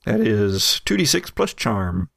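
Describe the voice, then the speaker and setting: A man narrating as game master of a tabletop game, describing scenes in a relaxed, chatty way with fillers. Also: fairly low pitch, slow pace, vocal fry, slightly rough, dry recording, studio quality.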